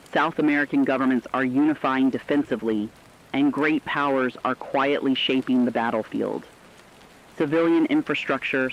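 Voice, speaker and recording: A man's voice: medium pitch, lo-fi sound, lightly acted. A man playing a serious radio war analyst, giving formal, sober and emphatic commentary in a scripted emergency broadcast. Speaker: news analyst.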